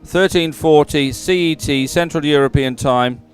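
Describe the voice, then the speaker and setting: A man giving live sports commentary in a chatty, somewhat halting announcing style. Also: fairly high pitch, clean tone, soft background music.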